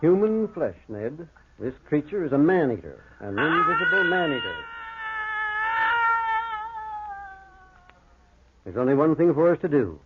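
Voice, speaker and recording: A man's voice: a little high, big pitch swings, fairly quick, heavy background noise. A man playing an earnest, educated doctor in an old-time radio horror drama, speaking in a precise, formal and grave manner. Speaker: actor and first-person narrator. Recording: muffled, tinny vintage radio recording.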